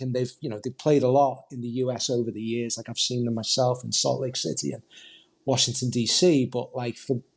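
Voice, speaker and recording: Chatty Liverpudlian man, mid-pitched. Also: a little mellow. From Liverpool, England. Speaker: podcast guest, football supporters' club member. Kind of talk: casual interview chat.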